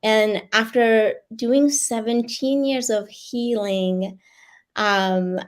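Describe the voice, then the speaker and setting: Raspy woman. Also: on the high side, fairly even intonation, very slow. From Iran. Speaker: guest author and life coach. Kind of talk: personal sharing and reading aloud. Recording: online video call.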